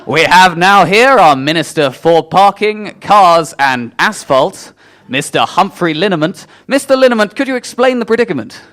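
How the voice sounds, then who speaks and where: Man as a bright-voiced radio newsreader. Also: high-pitched, lively intonation, moderate pace. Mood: formal, deadpan. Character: brisk, formal male radio newsreader. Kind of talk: mock news bulletin.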